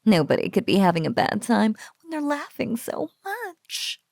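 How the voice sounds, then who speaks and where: A woman voicing a sultry, smugly menacing spider-woman bully in an intimate ASMR roleplay, breathy and often slipping into whispers, with mock-pitying coos. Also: fairly high pitch, wildly swooping pitch, normal speed, darkish tone, dry recording, clean studio sound, exaggerated voice.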